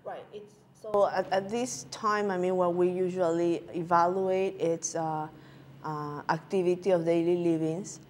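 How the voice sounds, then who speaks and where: A woman from Peru, answering interview questions as a guest physician in a halting way with many filler sounds. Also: lowish voice, fairly even intonation, darkish tone, a little hiss or hum.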